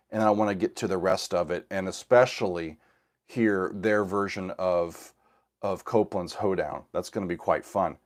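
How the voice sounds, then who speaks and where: Hoarse middle-aged man chatting casually. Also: fairly low pitch. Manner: relaxed, rambling, frequent fillers and restarts. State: scratchy voice from a cold. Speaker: host of a music reaction channel. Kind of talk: casual livestream chat with viewers.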